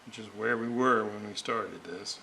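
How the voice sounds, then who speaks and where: A man with a gravelly voice, muttering haltingly to himself as he thinks aloud through technical work and repeats readings. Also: fairly low pitch, sharp tone. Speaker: radio restorer. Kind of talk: thinking aloud while working. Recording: clearly noisy workshop recording.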